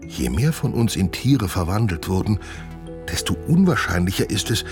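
A man's voice: deep voice, big pitch swings, moderate pace, over music. A man voicing a schoolgirl changed into a chimpanzee, asking surprised, sensible questions. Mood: puzzled, thoughtful. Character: schoolgirl turned into a chimpanzee, startled and practical.

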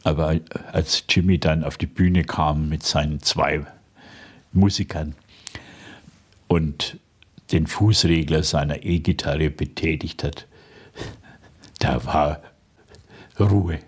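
An older man from Franconia with a Franconian accent, a radio interview guest reminiscing slowly and thoughtfully, often pausing with hesitations. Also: very deep voice, fairly even intonation, slightly rough. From Franconia, Germany. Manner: slow, thoughtful, frequent hesitations with "äh". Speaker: interview guest, dialect poet.

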